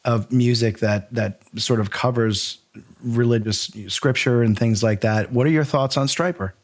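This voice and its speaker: Male podcast host, mid-pitched, conversational and joking. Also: unhurried. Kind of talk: casual chat.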